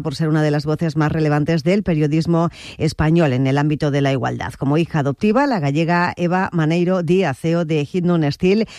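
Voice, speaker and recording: A man's voice: on the high side, darkish tone, no room sound, studio quality. A man with a Spanish accent from Spain, anchoring a scripted radio news bulletin.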